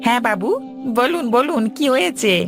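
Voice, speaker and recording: A woman's voice: high voice, lively intonation, normal speed, over music. A woman voicing an obliging, easily flustered male servant in a cartoon, eager and folksy. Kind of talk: cartoon voice acting.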